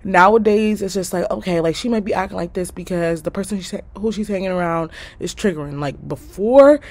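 A nineteen-year-old woman telling a casual storytime vlog in her bedroom, animated and slangy, jumping from loud bursts to low muttered asides. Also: fairly quick. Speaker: vlogger. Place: small bedroom, indoors.

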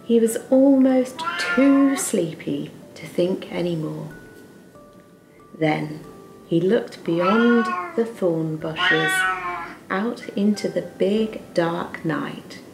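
A woman in her thirties reading a bedtime story to children in a soft, expressive way. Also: lowish voice, extremely slow, gravelly, over music.

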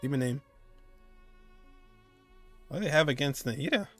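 Young man, medium pitch, game streamer, casual reaction commentary, game music underneath. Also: animated, moderate pace, a bit bright, slightly rough.

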